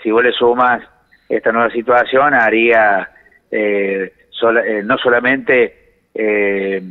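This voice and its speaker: An Argentine man giving measured economic explanations in a phone interview, pausing to search for words. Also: lowish voice, steady tone. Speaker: economics commentator, phone guest. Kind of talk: explanatory radio-style interview answers. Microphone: telephone. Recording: reverberant phone call feed.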